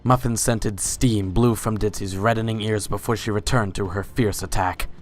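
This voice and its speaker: A man's voice: fairly low pitch, steady tone, moderate pace. A man reading scripted story narration as the narrator of a dramatic reading.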